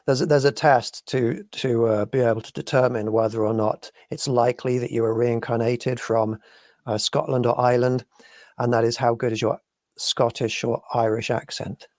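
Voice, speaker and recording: A British man from the UK hosting a talk show, reasoning through ideas in a measured way. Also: mid-range voice, flat delivery, darkish tone, no echo, clean studio sound. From United Kingdom. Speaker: podcast host. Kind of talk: casual talk show discussion.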